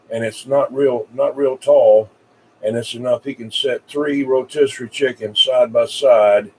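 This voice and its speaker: A man's voice: mid-range voice, a little mellow. A man with a Southern US accent rambles along in a folksy way, chatting casually as a radio operator. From South Carolina, United States. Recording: distant mic with background hiss.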